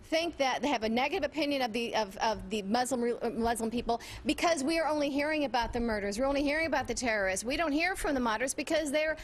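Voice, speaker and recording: A female panel guest with a high voice who talks very quickly, with some noise around her. She argues insistently and keeps going when others cut in. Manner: insistent, talking over others. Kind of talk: heated panel debate. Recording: background noise and ambience.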